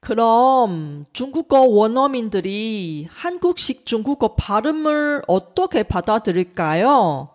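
A woman from Taiwan, a native Chinese speaker with a Taiwanese accent, giving a scripted lesson as a language teacher in a careful, measured way. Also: a little high, darkish tone. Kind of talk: scripted educational narration.

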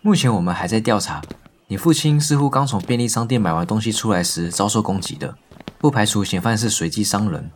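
A man's voice: on the low side, brisk, slight background noise, lightly acted. An actor in an audio drama playing a composed, considerate male police officer. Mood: composed, sympathetic. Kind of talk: modern Mandarin audio drama acting.

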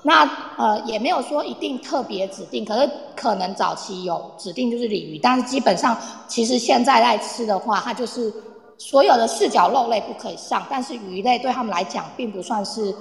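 A woman's voice: on the high side, fairly quick, gravelly. A woman giving an informal, explanatory talk, addressing her listeners directly like a teacher. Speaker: guest speaker explaining Polish culture. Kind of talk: informal explanatory talk to a live online audience. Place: live online audio room, reverberant sound. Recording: echoey, long reverb.

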